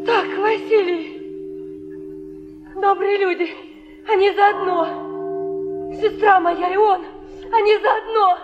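A woman's voice: extremely high pitch, fairly even intonation, slow pace, long pauses. An actress playing an injured, hysterical young woman who accuses in anguished, sobbing stage declamation. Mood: anguished accusing. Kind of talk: theatrical stage acting. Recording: muffled old stage play recording.

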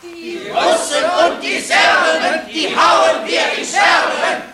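An actor playing a frenzied patriotic street rabble-rouser in a 1940s German radio play, shouting drawn-out chants. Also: male voice, very high voice, fairly even intonation, heavily acted. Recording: distant shouts amid crowd din.

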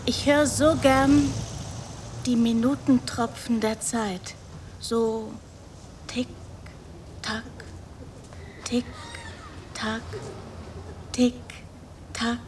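A woman's voice: on the high side, level tone, drawn-out words, pauses often, a little mellow, slight background noise. An actress playing a naive, meek young woman in a radio drama, with an artless, childlike sense of wonder. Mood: dreamy, wondering.

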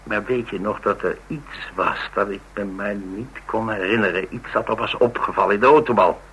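Forceful, low-voiced, wry male eyewitness. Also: normal speed, slight background noise, rough recording. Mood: recollecting. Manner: wry, colloquial storytelling. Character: inquisitive male eyewitness recounting suspicious events, wry and talkative. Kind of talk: radio drama dialogue.

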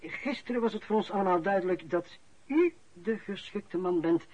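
An actor playing a courteous, sardonic company boss in a radio drama, speaking with measured, ironic pauses. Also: male voice, high voice, expressive, darkish tone, a little hiss or hum. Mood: sardonic, confiding. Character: smooth-talking sardonic male company boss. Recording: dull lo-fi radio play recording.

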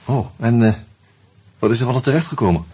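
An actor playing a reflective, wry man who tells his story in a radio play, in a musing, halting, conversational way. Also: medium pitch, lively intonation, dark tone, breathy edge. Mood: hesitant, searching. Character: reflective male friend telling his story, wry, hesitant. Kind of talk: intimate first-person radio drama narration and dialogue.

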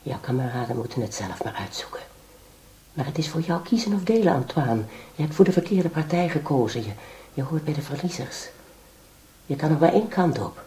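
An actress playing a scheming, ambitious wife in a radio drama, coaxing and insistent. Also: female voice, low voice, expressive, brisk, mellow. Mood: calculating. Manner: coaxing, insistent, feigned concern. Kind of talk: Dutch radio drama acting.

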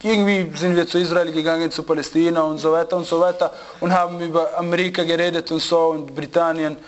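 A man giving an informal religious lesson to a small group, telling anecdotes with animated, repetitive phrasing. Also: fairly high pitch, quick pace, dull audio. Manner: animated storyteller, repeats and restarts phrases. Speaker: religious teacher.

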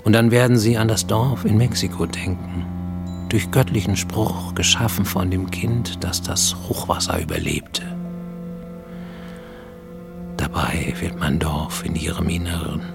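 An actor playing a fugitive healer and visionary dreamer in a radio play monologue, speaking intimately and deliberately. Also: male voice, sits low, steady tone, creaky, over loud music. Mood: reflective, persuasive. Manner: intimate, deliberate, addressing a listener, dropping to whispers. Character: fugitive Japanese man in Mexico, makeshift healer and tooth-puller, visionary dreamer. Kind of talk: German radio drama monologue.

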